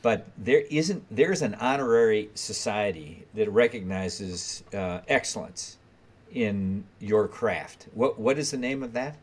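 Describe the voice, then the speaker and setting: Male podcast host, low voice, meandering questions, video call. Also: lively intonation, slightly rough. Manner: halting, rambling question phrasing. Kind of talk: interview questions.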